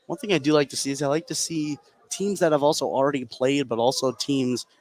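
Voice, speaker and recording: A man's voice: mid-range voice, steady tone, a little fast, darkish tone. An American man working as a color commentator, giving analysis during a live basketball broadcast. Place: high school basketball gym. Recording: faint gym noise behind the voice. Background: gym ambience.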